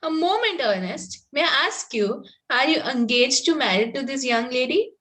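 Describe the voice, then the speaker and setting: Young Victorian ingénue, read by a woman, high voice, sweet but pointed, formal, stage comedy read-through. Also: animated, normal speed, pushed and bright. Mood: polite, pointed. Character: sweetly barbed, innocent young Victorian girl.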